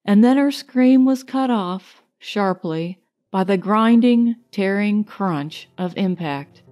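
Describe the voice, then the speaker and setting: Slow-paced woman narrating a story. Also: medium pitch, warm tone. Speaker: audiobook narrator.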